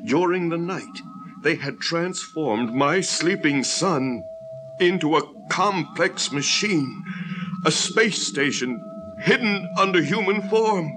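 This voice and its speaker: A man's voice: a little high, expressive, takes it slowly, music in the background. An actor playing a paranoid, agitated middle-aged father in a radio drama, speaking with emphatic, insistent intensity. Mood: haunted, hushed intensity.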